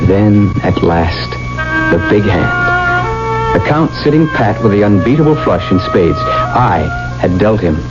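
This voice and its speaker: Radio drama lead: headstrong, desperate young gambler in love. Theatrical, commanding, hugely animated delivery on a dull old recording. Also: male voice, fairly low pitch, measured pace. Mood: gripping narration. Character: desperate, headstrong young male lover turned card-playing gambler. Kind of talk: 1970s radio drama acting. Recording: dull 1970s radio recording, music behind.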